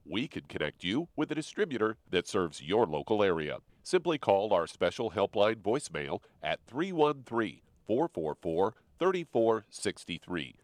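Lively low-voiced radio announcer. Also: male voice, normal speed, few pauses, no room sound. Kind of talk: scripted ad read.

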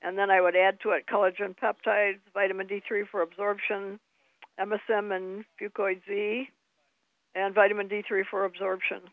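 A woman co-hosting a radio health show, giving steady, matter-of-fact advice. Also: mid-range voice, normal speed, dry recording. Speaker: co-host advisor. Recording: tinny phone line.

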